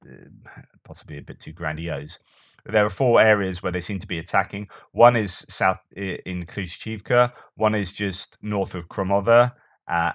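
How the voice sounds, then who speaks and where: A man's voice: low-pitched, a bit bright, no echo, studio quality. A man commenting on war news in a casual, thinking-aloud way, with frequent fillers and restarts.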